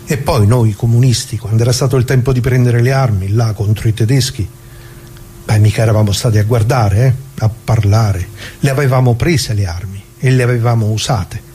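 Man acting a mellow, proud old communist worker. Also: mid-range voice, slight background noise, close to an everyday voice. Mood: proud, earnest. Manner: colloquial, halting, repeating words, earnest. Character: nostalgic, proud working-class Italian communist, an older man. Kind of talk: conversational theatre monologue for radio.

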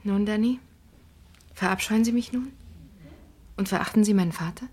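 An actress playing an anxious, earnest married daughter of a criminal, confiding and breathy, in a German radio crime drama. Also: female voice, medium pitch, moderate pace, a little mellow, lightly acted.

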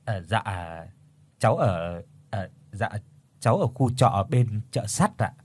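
A man voicing a timid, humble young man who stammers softly. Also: expressive, normal speed, slightly rough. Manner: hesitant, stammering, soft and low.

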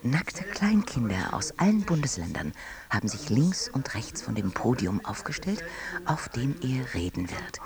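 Reverent co-commentator, radio play, medium pitch, hushed, animated intonation. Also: male voice, unhurried, creaky, a crowd in the background. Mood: hushed reporting. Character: awestruck co-commentator reporting a state ceremony.

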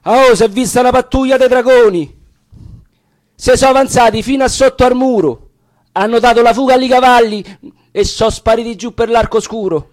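Man acting urgent high-pitched Roman sentry raising alarm. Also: normal speed. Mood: breathless alarm. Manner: urgent, exclaiming. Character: alarmed male volunteer sentry shouting warnings. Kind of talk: dramatic verse recitation.

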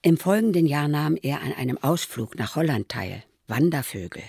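An elderly mother in a radio play, looking back on her son in a measured, matter-of-fact way. Her low voice is gravelly and husky, recorded very dry. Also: expressive, a little mellow, no room sound, exaggerated voice. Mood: sober recollection. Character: aging mother soberly recalling her sickly, troubled son.

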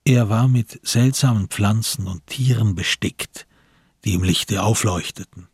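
A man with a gravelly, husky voice giving literary narration in a radio crime drama. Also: fairly low pitch, unhurried, no echo, studio quality.

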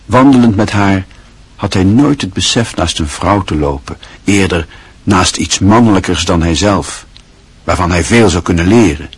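A man narrating a radio play in a calm, measured storytelling manner. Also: sits low, breathy edge, dry recording.

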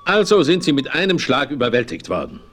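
A man's voice: medium pitch, very animated, brisk, fairly bright tone. A man playing a crafty, blustering old frontiersman with folksy talk and oaths in a western radio play. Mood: knowing. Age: older.

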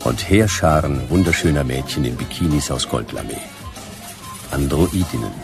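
A man's voice: extremely low pitch, animated, moderate pace, vocal fry, music in the background, heavily acted. A man playing a laconic, sardonic private detective in a noir radio play. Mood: wry narration. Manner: laconic, sardonic, clipped sentences. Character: hard-boiled, dry, wry male private detective.

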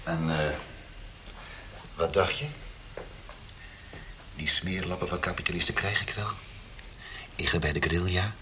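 An actor playing a menacing, mocking interrogator of a brutal regime in a radio play, sneering and theatrical, swinging from quiet threat to ranting. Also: male voice, sits low, measured pace, long pauses, a little hiss or hum, low-quality recording. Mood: sneering menace. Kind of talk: radio drama acting.

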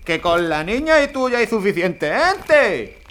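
Audio drama: selfish, blunt trucker played by a man, higher-pitched, animated. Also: slow, a little hiss or hum, exaggerated voice. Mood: indignant, grudging. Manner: blunt, swearing, stammering in protest. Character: gruff, selfish, grudging truck driver.

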